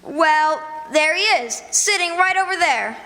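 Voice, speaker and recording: Woman as a very high, eager little boy. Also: animated, a little slow, pushed and bright, a little hiss or hum, heavily acted, poor audio. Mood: innocent. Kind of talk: old-fashioned radio drama acting. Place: live performance on a college campus.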